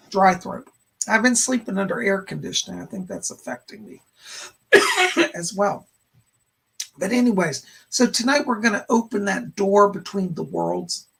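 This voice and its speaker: Hoarse, raspy, gushing male psychic host. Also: sits high, wildly swooping pitch, unhurried, pauses often, a bit bright. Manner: effusive, gushing greetings with frequent squeals of delight. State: hoarse, tired voice. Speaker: psychic medium hosting a live stream. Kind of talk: casual livestream chat, greeting viewers. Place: home studio room.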